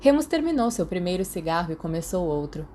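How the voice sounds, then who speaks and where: A Brazilian woman narrates fiction in a fairly low, soft-edged and mellow voice. She reads from a script in the manner of an audiobook. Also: very animated, brisk, slight background noise. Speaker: podfic narrator.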